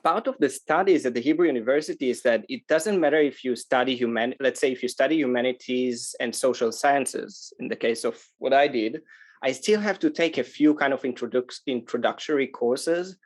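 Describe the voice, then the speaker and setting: A man from Israel speaking as a podcast interview guest, conversational, often pausing mid-phrase as he explains. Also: medium pitch. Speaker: interview guest, sustainable tourism organization manager.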